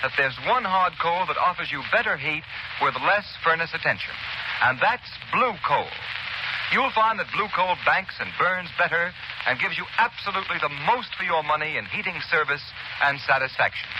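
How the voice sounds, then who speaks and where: High, animated male radio announcer. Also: moderate pace. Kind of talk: scripted 1930s radio announcing and sponsor read. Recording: dull, noisy 1939 radio broadcast.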